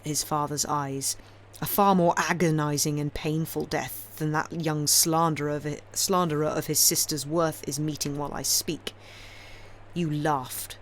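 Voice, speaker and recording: A woman voicing a cold, embittered, vengeful man in a storybook, speaking in a fierce, deliberate, accusing way. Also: low voice, a little hiss or hum.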